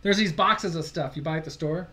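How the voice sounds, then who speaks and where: A man's voice: a little high, fairly quick, sharp tone. A man from Michigan co-hosting a casual live stream, chatty, laughing easily and losing his place now and then. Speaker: livestream co-host.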